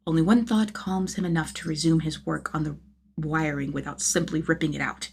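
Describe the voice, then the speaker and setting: A woman narrates fiction in an expressive, storytelling style. Her voice sits a little low for a woman and carries a slight husky grain. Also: fairly quick.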